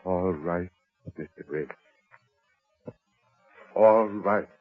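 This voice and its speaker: An actor playing a timid, devoted old columnist in a radio drama, stammering and pleading in a husky voice. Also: male voice, low-pitched, very slow, no room sound, soft background music, exaggerated voice. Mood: defeated. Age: older. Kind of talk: old-time American radio drama acting. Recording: tinny, muffled old radio recording.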